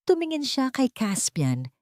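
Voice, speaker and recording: A woman's voice: high-pitched, wildly swooping pitch, measured pace, dark tone, no room sound, studio quality. A woman with a Filipino accent reading a scripted dramatic story as an audiobook narrator.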